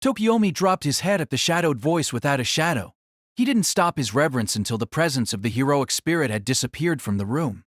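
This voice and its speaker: A man's voice: fairly high pitch, expressive, fairly quick, dry recording, studio quality. A man reading scripted dramatic story narration as the narrator of an audio drama.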